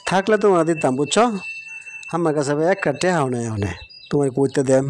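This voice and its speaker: A man's voice: on the high side, animated, fast, darkish tone, a little hiss or hum, close to an everyday voice. A man playing a stingy, dismissive village man in a cartoon moral story, brusque and grumbling, with a rural Bangladeshi Bengali accent.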